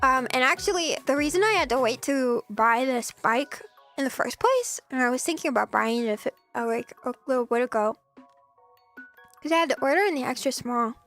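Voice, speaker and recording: Young female streamer, high soft voice, chatty and giggly, slightly scratchy throat, faint music bed. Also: animated, brisk. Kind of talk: casual chat.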